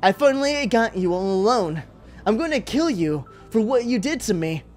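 A man's voice: sits high, very animated, moderate pace, darkish tone, soft background music. A man voicing a gleefully murderous, scheming yandere schoolgirl with dramatic delivery in a visual novel read-aloud. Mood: gleeful menace.

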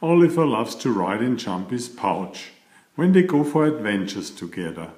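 A middle-aged man reading aloud to young children in a simple storytelling style, with clearly phrased delivery. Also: medium pitch, big pitch swings, moderate pace, darkish tone. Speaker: children's book reader. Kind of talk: read-aloud bedtime storytelling. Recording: roomy, echoey voice recording.

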